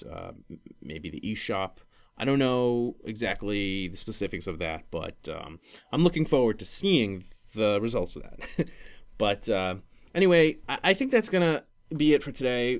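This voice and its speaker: Guy with a lowish voice doing a solo podcast, super casual, rambles and stumbles over his words, keeps correcting himself. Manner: rambling, halting, self-correcting. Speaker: podcast host.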